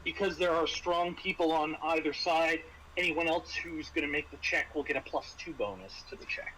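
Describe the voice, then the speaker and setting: Bright-voiced man running a tabletop game. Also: a little high, normal speed, rough recording. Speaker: game master. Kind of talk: running a tabletop role-playing game, explaining rules and narrating. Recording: faint background noise.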